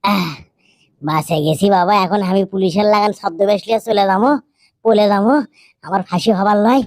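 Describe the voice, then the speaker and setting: A woman's voice: medium pitch, normal speed, no room sound, studio quality. A woman with a northern Bangladeshi accent voicing a cheeky, wily condemned prisoner in a comic game, through a voice changer. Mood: sly. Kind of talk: comic dubbed game roleplay in dialect.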